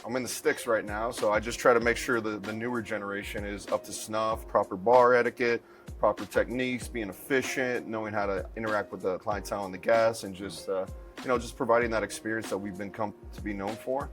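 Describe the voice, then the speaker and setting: A man from Miami with a lowish voice talks casually as an interviewed bar owner. He is laid-back and slangy, like someone chatting with a fellow bartender. Soft music plays behind him in the bar. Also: fairly even intonation, a little fast. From Miami, United States. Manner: laid-back, slangy, says dude and bro. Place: inside a bar.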